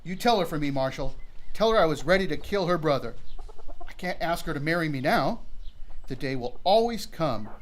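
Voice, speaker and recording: A man's voice: a little high, expressive, normal speed, close to an everyday voice. A man playing a resolute, world-weary gunman in a western radio drama. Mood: weary, regretful. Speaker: actor in a radio theater.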